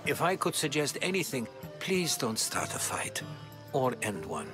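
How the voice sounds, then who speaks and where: An actor playing a genial, cautious Greek scholar in a video game, polite and gently wry. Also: male voice, mid-range voice, very animated, unhurried, over music. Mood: gently cautioning. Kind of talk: video game cutscene acting.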